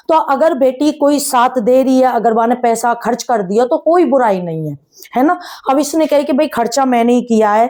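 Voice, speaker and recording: A woman with a distinctly high voice and a rural North Indian Haryanvi-Braj accent. She talks like a gossip vlogger, casual and animated, teasing and sarcastic. She often throws out rhetorical questions as if talking straight to the people she is discussing. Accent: rural North Indian Haryanvi-Braj Hindi. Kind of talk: casual chatty commentary on a family controversy.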